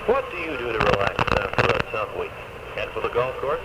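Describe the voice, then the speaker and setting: A man's voice: medium pitch, big pitch swings, a little fast. A man reading a scripted radio advertisement, captured on a 1970s AM radio recording. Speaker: radio commercial announcer. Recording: distant, very noisy 1970s AM radio taping.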